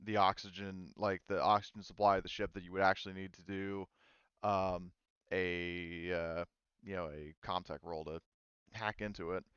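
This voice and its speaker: A man speaking casually and haltingly as game master of a tabletop roleplaying game, informal and sweary. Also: low voice, steady tone, fairly bright tone, clean tone, no room sound, muffled recording. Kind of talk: casual improvised game narration and table chat.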